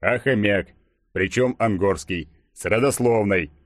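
Reader doing a big gruff tipsy army vet, low voice, grumbly n folksy, dry audiobook sound. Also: male voice, measured pace, dry recording, natural voice. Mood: grumpy. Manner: colloquial, grumbling, rambling. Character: burly, gruff, tipsy ex-soldier, grumpy and suspicious.

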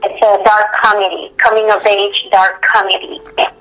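A woman from Canada, a film director, speaking earnestly in a podcast interview with frequent pauses. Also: medium pitch, flat delivery, fairly bright tone, low-quality recording. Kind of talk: podcast interview over a call.

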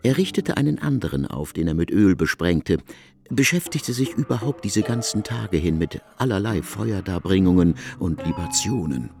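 A man narrating a literary work for radio in a measured, formal storytelling manner. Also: low-pitched, animated, gravelly, a little mellow. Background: faint music.

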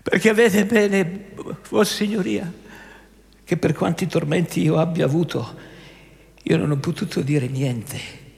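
A man playing a frightened, tortured prisoner who pleads haltingly with his judge in a theatrical radio drama. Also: on the high side, vocal fry. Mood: broken, anguished.

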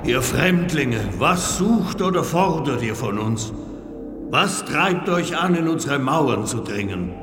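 A grave temple priest in a classical radio drama. He speaks formally and slowly, earnest and probing, with music clearly behind him. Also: a little high, expressive. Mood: grave, challenging. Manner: formal, deliberate. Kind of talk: declamatory classical stage speech in a radio play.

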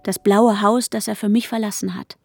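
An actress playing an anxious, controlling woman searching for her missing fiancé in a radio drama, intense and hushed, in short clipped sentences. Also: medium pitch, steady tone, normal speed, creaky, lightly acted. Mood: tense, brooding. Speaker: protagonist and narrator. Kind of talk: radio drama inner monologue and dialogue. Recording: music under the voice. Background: music.

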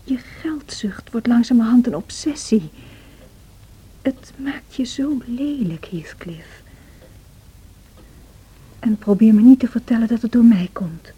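A woman's voice: on the high side, dark tone. A woman playing a tempestuous, self-pitying young married woman in a radio drama, theatrical and emotional. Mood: reproachful, tense. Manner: theatrical, emotional, slow and deliberate. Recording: noisy old radio drama recording.

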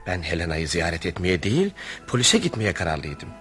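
An actor playing an earnest, anxious family friend who narrates and speaks in a radio play, with theatrical delivery. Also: male voice, low voice, big pitch swings, a little fast, fairly bright tone. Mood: reflective, wry. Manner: theatrical, reflective storytelling, emphatic protests.

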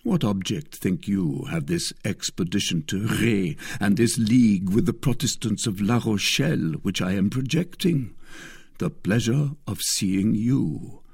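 A man's voice: on the low side, a little slow, creaky, darkish tone, husky, no echo, studio quality, exaggerated voice. A man voicing an ardent lovestruck nobleman, declaiming passionately in a dramatic audiobook reading. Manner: impassioned, declamatory.

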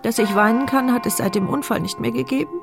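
An actress voicing a frightened woman in a wheelchair who narrates her own ordeal in a hushed, tearful first-person radio-play monologue. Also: on the high side, fast talker, creaky. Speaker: narrator and lead character. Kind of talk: radio drama inner monologue. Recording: radio play with loud music bed.

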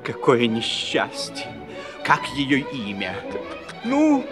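A man playing an anxious, excitable king in a fairy-tale audio play, fussy, commanding and theatrical. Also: mid-range voice, slow pace, over music. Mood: panicked.

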